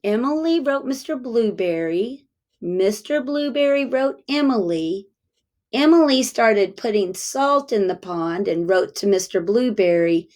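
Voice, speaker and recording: A woman teacher reading a picture book aloud to young children, speaking deliberately and pausing to ask them questions. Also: mid-range voice, animated, darkish tone.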